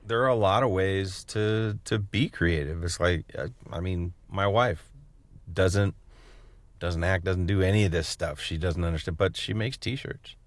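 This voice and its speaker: A man with a fairly low voice, recorded dry and close. He hosts a casual podcast chat, wandering through his sentences in a joking, hesitant way. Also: fairly even intonation, unhurried, dry recording. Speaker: podcast co-host. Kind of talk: casual chatty interviewing.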